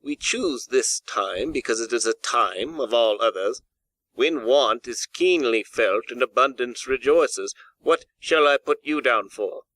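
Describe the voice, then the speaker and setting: A man voicing a portly, courteous gentleman in a storybook reading, speaking formally and earnestly. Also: medium pitch, lively intonation, a little slow, no echo, poor audio. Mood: solemn appeal. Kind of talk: audiobook character dialogue.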